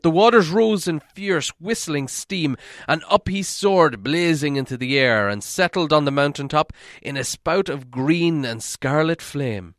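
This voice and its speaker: A man reading a fantasy story aloud as scripted narration, in a theatrical, deliberate manner. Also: on the high side, a bit bright, no echo, clean studio sound. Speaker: audiobook reader.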